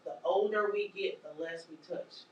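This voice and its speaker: Woman trainer, loud and animated, teaching caregivers; roomy distant sound. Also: on the low side, moderate pace, highs cut off. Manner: animated, joking, acts out scenes, Southern-style casual grammar. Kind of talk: lively workshop teaching. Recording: echoey room from far off, faint noise.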